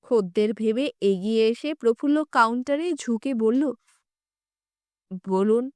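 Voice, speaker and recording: A woman narrating a literary short story in a measured, restrained yet emotive way. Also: on the high side, a little mellow, dry recording, studio quality. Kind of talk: dramatic reading of literary short fiction.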